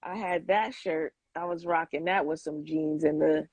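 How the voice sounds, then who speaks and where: A woman's voice: low voice, fairly even intonation, moderate pace, a little mellow, husky, no room sound. A woman in her forties chatting informally as an interview guest, rambling a little as she thinks aloud. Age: 40s. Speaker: interview guest, sneaker collector. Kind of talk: casual interview chat.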